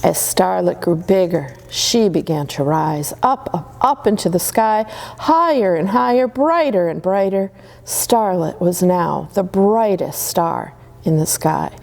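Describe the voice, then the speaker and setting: A woman reading Christmas stories aloud to children in a gentle, soothing way. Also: fairly low pitch, animated, unhurried, gravelly. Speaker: storyteller.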